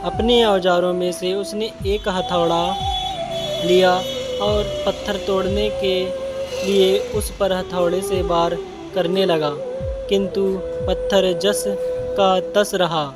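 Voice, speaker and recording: A man narrating a scripted moral story with deliberate, halting phrasing. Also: high voice, level tone. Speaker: storyteller for a channel. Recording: loud music behind the voice. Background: loud music.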